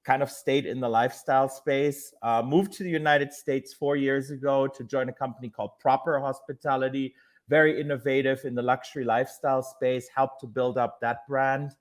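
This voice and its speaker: A man's voice: medium pitch, steady tone, brisk. German-accented man telling his story. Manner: loose, conversational storytelling with fillers. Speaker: guest, hotel executive. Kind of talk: casual podcast interview.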